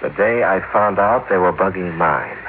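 Over tinny, faintly noisy old radio comes a wronged businessman. His low voice is bitter and vengeful, in old-time radio drama style. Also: lively intonation, moderate pace. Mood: wounded.

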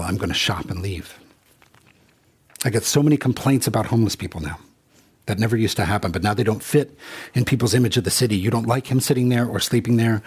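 Earnest, very husky Congolese-born man. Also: fairly low pitch. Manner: earnest, reflective storytelling with quoted exchanges. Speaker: rideshare driver. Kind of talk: personal monologue.

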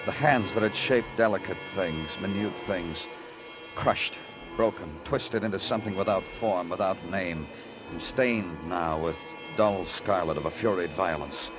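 An actor playing a brooding, sardonic police detective in an old-time radio crime drama, terse in questioning and somber in narration. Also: male voice, deep voice, measured pace, music in the background. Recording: vintage radio recording with musical underscore.

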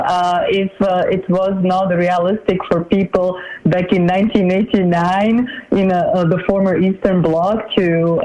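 A woman in her forties from Slovakia, a political candidate giving an interview in a measured, halting way with many fillers. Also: fairly low pitch, dark tone, dull audio. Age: 40s. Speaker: congressional candidate.

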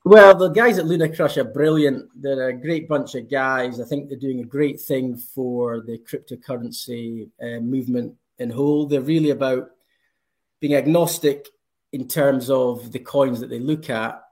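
A man's voice: mid-range voice, fairly even intonation, moderate pace. A man from Scotland with a Scottish accent, answering interview questions with enthusiasm and frequent ums. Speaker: company representative.

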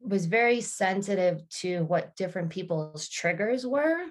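A woman's voice: mid-range voice, expressive, measured pace. A woman in her thirties, a podcast guest, speaking thoughtfully in casual conversation with frequent restarts and fillers.

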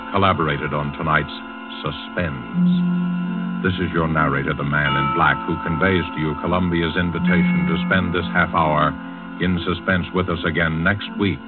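An actor as a mysterious, formal host, announcing a 1940s radio suspense show in a measured, portentous way. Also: male voice, very deep voice. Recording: muffled old radio recording under loud music.

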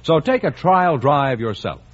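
A man's voice: on the high side, very animated, unhurried. A man announcing a scripted radio commercial in an upbeat, persuasive way. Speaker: sponsor announcer. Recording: muffled 1950s radio broadcast.

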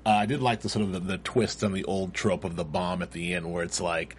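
A man's voice: low-pitched, a little fast, slight background noise. A man co-hosting a casual panel chat, recapping eagerly and tripping over his words. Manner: animated, stumbles over words. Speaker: co-host.

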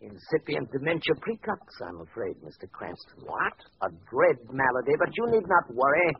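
An actor playing a sinister, suave mad doctor in an old radio drama, speaking in a smooth, menacing, theatrical way. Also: male voice, medium pitch, big pitch swings, normal speed. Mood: gloating. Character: sinister middle-aged male mad doctor, suave and gloating. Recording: dull old radio recording with faint hiss.

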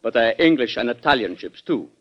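Guy doing stiff polite diplomat, lively pitch, muffled old radio. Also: natural voice. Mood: coolly polite. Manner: courteous, measured, unyielding. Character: formal, coolly stubborn German ambassador. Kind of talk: old-time radio drama acting. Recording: dull vintage radio recording.

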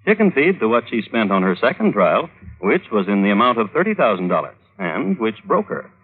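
A droll connoisseur of crime, telling tales on vintage radio. His low voice moves expressively through wry, deliberate phrases. The broadcast sounds tinny and narrow. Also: very animated, breathy edge, exaggerated voice. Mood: wry storytelling. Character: droll, dry male crime connoisseur narrating historical murders. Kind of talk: 1950s radio storytelling.

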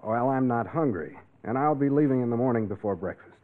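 A man's voice: medium pitch, lively intonation, brisk, lightly acted. An actor playing a tired, easygoing male star reporter, casual and wry, in 1940s radio drama style. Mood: tired, polite. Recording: tinny vintage radio recording.

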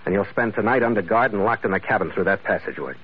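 Clipped American sea captain, muffled old radio. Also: male voice, on the low side, quick pace, slightly rough. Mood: stern, questioning. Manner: clipped, terse, hard-boiled delivery. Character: firm, weary ship's master narrating his log. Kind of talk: 1940s radio adventure acting. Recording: muffled old radio recording with loud music.

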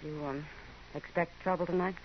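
An American woman playing a worldly, watchful saloon woman with a quietly confiding manner in a 1950s radio western. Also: on the low side, steady tone, moderate pace, lightly acted. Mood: low, worried. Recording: dull, hissy vintage radio recording.

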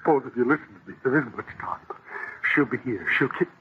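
An actor playing a crazed, guilt-ridden arsonist in an old-time radio horror play, pleading frantically and repeating himself in anguish. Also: male voice, mid-range voice, fairly quick, lightly acted. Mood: desperate pleading. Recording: tinny, noisy vintage radio recording.

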